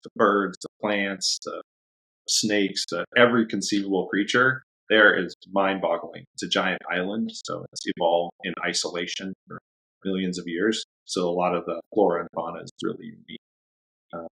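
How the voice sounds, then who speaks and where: A man, a dog trainer, chatting casually as a podcast guest in a relaxed, rambling way, laughing often. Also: low voice, normal speed, gravelly, husky, low-quality recording. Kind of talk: casual interview chat.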